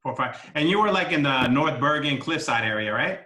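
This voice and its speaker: A man's voice: mid-range voice, lively intonation, brisk, pushed and bright, roomy sound. A man hosting a casual sit-down interview in a pizzeria, chatty and friendly with lots of fillers. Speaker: host.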